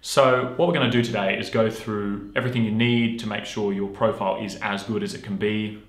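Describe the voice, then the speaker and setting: Relaxed man talking through a tutorial. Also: on the low side, fairly quick, no room sound. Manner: casual and upbeat, many ums. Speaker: acting coach. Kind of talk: online tutorial, screen walkthrough.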